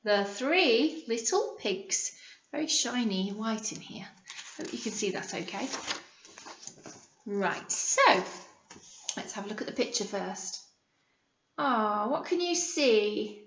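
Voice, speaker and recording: A British woman reads a storybook as a primary teacher would, with a highish, very lively voice that rises and falls. She speaks simply to young children and stops to ask them questions. The sound is roomy. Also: dull audio, a little hiss or hum. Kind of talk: picture book read-aloud with teaching asides and questions.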